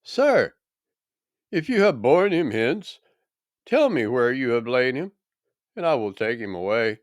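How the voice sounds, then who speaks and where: A man's voice: medium pitch, very animated, unhurried, dry recording, studio quality. A man voicing an earnest, pleading, grieving woman in a dramatic scripture reading.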